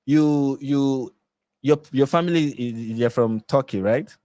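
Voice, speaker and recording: A man's voice: mid-range voice, takes it slowly, mellow, dry recording. A man from Nigeria hosting a casual live stream, rambling with lots of fillers and restarts. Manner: rambling, many fillers and restarts, laughs often.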